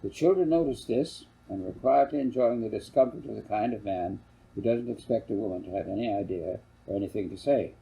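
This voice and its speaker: A man's voice: fairly low pitch, brisk, vocal fry, dark tone, slightly rough, low-quality recording. A man reading his own scripted story aloud as a storybook narrator.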